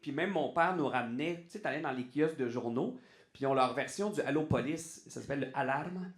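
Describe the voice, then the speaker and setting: A man with a Québécois French accent, a guest on a podcast, talking in an animated way and restarting his sentences often. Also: mid-range voice, a little fast, roomy sound. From Quebec. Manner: animated, restarts often, says "tu sais" a lot. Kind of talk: casual podcast interview.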